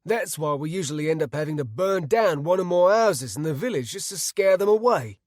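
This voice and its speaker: A man doing a blunt, gruff village leader. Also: on the high side, lively intonation, a little fast, no echo, studio quality, voice pushed up, natural voice. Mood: grave. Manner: blunt, forceful, salty. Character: gruff middle-aged male village leader.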